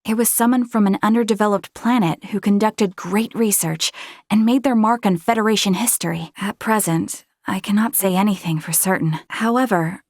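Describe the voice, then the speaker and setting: A woman's voice: medium pitch, normal speed, a little mellow, no echo, clean studio sound, close to an everyday voice. An actress playing an earnest, polite and conscientious young female Federation officer in a video game. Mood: earnest, supportive. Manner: polite, conscientious, sometimes hesitant.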